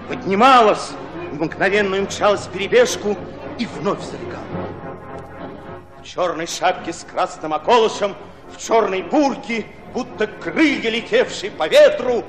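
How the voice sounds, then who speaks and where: An actor playing a thoughtful Red Army commissar who narrates a battle in measured, literary, dramatic style. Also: male voice, sits high.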